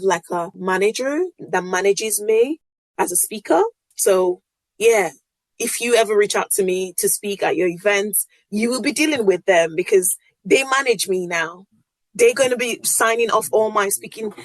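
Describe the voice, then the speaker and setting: A woman's voice: medium pitch, dry recording, low-quality recording. A woman from Nigeria with a Nigerian accent, whose first language is Yoruba, hosting a casual solo podcast in a chatty, upbeat way with frequent laughs. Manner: chatty and upbeat, laughs often, lots of fillers. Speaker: podcast host.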